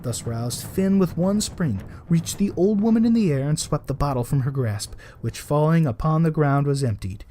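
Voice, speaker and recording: A man reading a folktale aloud as a storyteller, in expressive storybook narration. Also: on the high side, moderate pace, few pauses, slight background noise. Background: added music.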